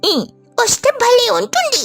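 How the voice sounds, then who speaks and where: A chirpy little child sparrow from a cartoon fable. The voice sits very high and childlike, eager to help and pleading with bright-eyed enthusiasm, over light background music. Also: female voice, sing-song, normal speed, heavily acted. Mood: hopeful.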